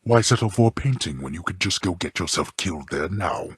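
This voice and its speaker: Very deep, husky, imperious underworld god. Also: male voice, animated, no room sound, clean studio sound, exaggerated voice. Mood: scornful, irritated. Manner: formal, haughty, measured, dryly contemptuous. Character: stern, imperious god-king of the underworld, scornful father. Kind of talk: theatrical video game character dialogue.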